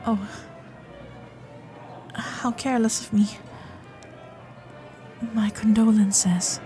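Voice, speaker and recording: Casting: actress as young Gilded Age heiress, sweetly awkward, formal, babbling, unhurried delivery, music underneath. Romantic audio drama. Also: female voice, on the high side. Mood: warm, curious. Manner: flustered, hesitant, babbling, genteel and formal. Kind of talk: romantic period audio roleplay, spoken intimately to listener.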